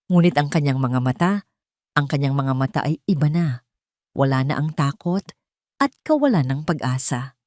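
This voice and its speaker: A woman's voice: low voice, darkish tone, dry recording, clean studio sound. A woman with a Filipino accent narrating a dramatic scripted audio story.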